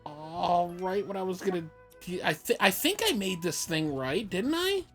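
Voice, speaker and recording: Lower-voiced woman chatting over a game. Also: sing-song, a little slow, soft background music. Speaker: gamer dubbing a live playthrough. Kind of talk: casual gameplay chat.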